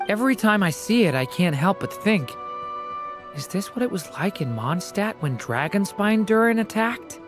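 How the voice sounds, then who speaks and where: A man playing an earnest, gentle and troubled young mage in a video game, thoughtful in manner. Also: high-pitched, sing-song, moderate pace, over music. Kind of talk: scripted video game dialogue.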